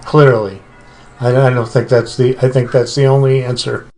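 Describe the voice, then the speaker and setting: Older male guest, mid-pitched, brief casual replies, faint music behind. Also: level tone, moderate pace, darkish tone. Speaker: podcast guest, the hosts' father. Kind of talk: short casual interview answers.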